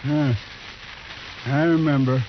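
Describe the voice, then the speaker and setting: A man's voice: a little high, fairly even intonation, slow. An actor playing a proud, stoic old Native man in a radio western, speaking solemnly in terse broken English. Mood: quiet defiance. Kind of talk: 1950s radio western acting. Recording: hissy, muffled old radio recording.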